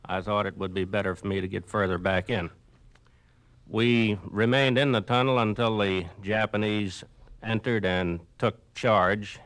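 Guy from brooklyn as old radio interview guest, lowish voice, careful formal wording, sounds scripted. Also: flat delivery, fairly bright tone, no room sound. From Brooklyn, New York. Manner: measured, precise, formal. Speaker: interview guest, former prisoner of war.

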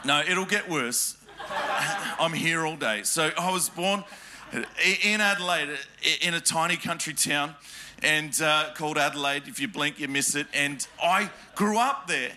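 A man's voice: a little high, measured pace, bright, forceful tone, slight background noise. An Australian man preaching a sermon in church, emphatic and joking with the congregation, often asking them questions. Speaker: pastor. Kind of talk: sermon with personal stories. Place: church hall before a congregation.

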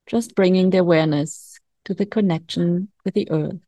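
A woman guides a meditation in a soft-edged, relaxed voice set a little low. She speaks very slowly, with calm instructions and long pauses between phrases. The sound is dry and intimate, with hardly any room. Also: no room sound. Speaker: meditation coach.

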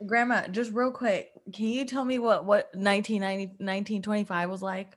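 A woman's voice: mid-range voice, fairly even intonation, a little fast, lightly acted. A woman playing an impatient teenage granddaughter, hesitant and placating, in an improvised comedy scene. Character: impatient teenage granddaughter trying to end a phone call.

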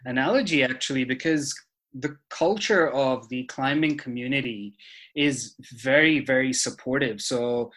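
A young man from Dubai, a podcast guest, talking in a thoughtful, measured way with frequent fillers. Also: mid-range voice, a bit bright. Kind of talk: conversational interview.

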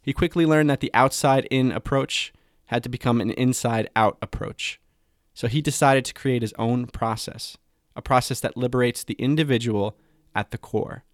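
A man's voice: medium pitch, normal speed, no echo, clean studio sound. A man hosting a podcast, introducing a guest and speaking with upbeat enthusiasm. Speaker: podcast host.

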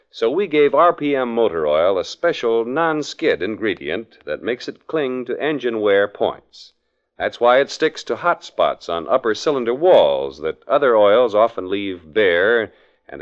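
A polished, salesmanlike man announcing and advertising in 1940s radio style, on a muffled vintage broadcast recording. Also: on the low side.

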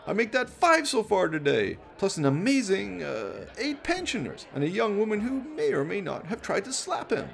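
A man voicing a gushing, excitable male television news reporter in a radio play, full of exclaiming showmanship. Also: high voice, normal speed, creaky, few pauses, voice pushed up, exaggerated voice. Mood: gushing excitement.

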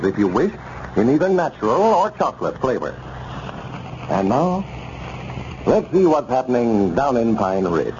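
Male American 1930s radio announcer, highly animated scripted pitch, dull recording with faint noise.

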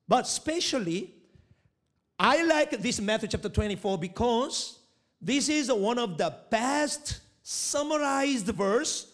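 A middle-aged man with a Korean accent, whose first language is Korean, preaching a sermon in halting English with restarts and rising emphasis. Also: extremely high pitch, a bit bright. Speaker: pastor, missionary.

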